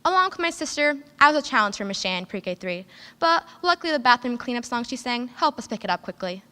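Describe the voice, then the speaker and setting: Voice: medium pitch, very animated, quick pace, sharp tone, smooth. An early-teen student whose first language is Lebanese Arabic, giving an earnest, prepared speech at school with a few careful restarts. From United States. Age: early teens. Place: school hall. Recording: long hall reverb.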